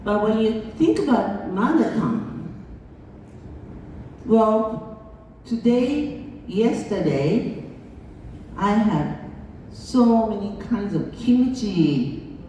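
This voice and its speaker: A Japanese woman, speaking English as a second language, gives a slow, chatty conference lecture and laughs along as she talks. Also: on the high side, lively intonation, warm tone, slight background noise. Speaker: international school board chair giving a lecture. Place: large conference hall. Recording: echoey hall, voice heard from far off.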